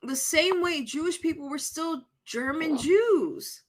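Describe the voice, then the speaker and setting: A woman with a Dominican accent who grew up between Puerto Rico and the Dominican Republic, co-hosting a podcast and telling stories in a blunt, animated way. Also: sits high, slow. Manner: blunt, animated storytelling with casual swearing. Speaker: podcast co-host. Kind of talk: casual chat.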